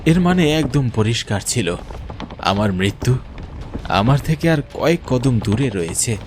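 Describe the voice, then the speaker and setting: A man's voice: medium pitch, sing-song, a little slow, noisy background. A man narrating a scripted first-person horror story in a grave, dramatic way.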